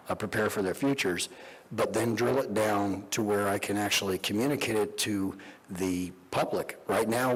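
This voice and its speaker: An older man who has lost his voice, speaking earnestly and haltingly as a speaker at a conference, with many fillers. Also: fairly low pitch, husky. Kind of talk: informal speech to an audience. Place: large conference hall. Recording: reverberant hall.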